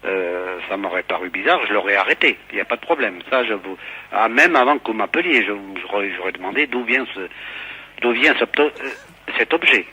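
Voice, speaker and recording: A middle-aged man from southern France, a school caretaker, talking earnestly and haltingly on a phone call, often repeating himself. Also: fairly low pitch, animated, bright, forceful tone, slightly rough. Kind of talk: phone conversation. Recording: voice over a telephone line.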